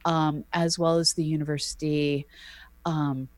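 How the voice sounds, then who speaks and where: A woman co-hosting an astronomy podcast, explaining at length in a measured, thoughtful way with frequent ums. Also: sits low, little pitch movement, a little mellow, no echo. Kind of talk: casual explanatory chat.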